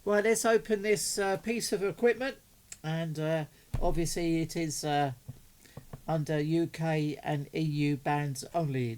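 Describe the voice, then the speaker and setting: A man's voice: fairly high pitch, darkish tone. An enthusiastic, chatty man, giving casual unboxing commentary as a hobbyist video host.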